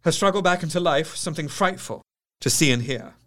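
An actor playing a grave, shaken Victorian doctor, narrating a diary in measured, literary style for an audio drama. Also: male voice, fairly high pitch, fairly bright tone, lightly acted. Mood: somber. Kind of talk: dramatic scripted first-person narration.

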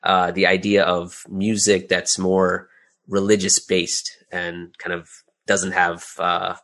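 A man's voice: sits low, level tone, slow pace, a bit bright. A middle-aged man talking casually as an interview guest, in a chatty way peppered with "you know" and "right?". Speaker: guest, audio drama creator.

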